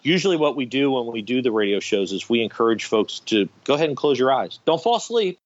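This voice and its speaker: Male theatre director as interviewee, medium pitch, conversational explainer, slightly lo-fi recording. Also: big pitch swings, fast. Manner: conversational, explains step by step with examples.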